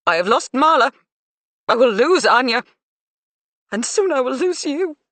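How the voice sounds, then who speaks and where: A woman voicing a scornful, bitter middle-aged mother, shrill and accusing. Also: expressive, normal speed, fairly bright tone, no echo, lightly acted. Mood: aggrieved.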